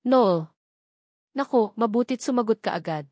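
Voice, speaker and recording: A woman's voice: on the high side, expressive, moderate pace, a little mellow, no room sound, clean studio sound. A woman voicing a worried aunt in an audio drama, speaking anxiously with a trembling voice.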